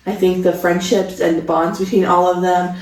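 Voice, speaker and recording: A woman's voice: fairly low pitch, level tone, normal speed, a little mellow. A woman of twenty-five chatting casually and enthusiastically as co-host of a vlog. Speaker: vlogger co-host. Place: home studio room, indoors. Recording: echoey room, distant mic.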